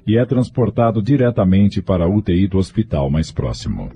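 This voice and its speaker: A man's voice: sits low, sing-song, a little fast, dark tone, soft background music. A Brazilian man giving scripted, dramatic narration for a radio soap opera.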